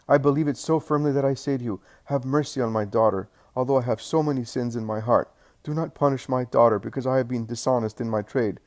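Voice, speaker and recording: A repentant innkeeper, voiced by a man reading the story, pleads with breathless fervor. His voice sits higher and louder than the reader's own. The delivery stays earnest and imploring. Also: natural voice. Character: penitent, fervent innkeeper, a middle-aged man.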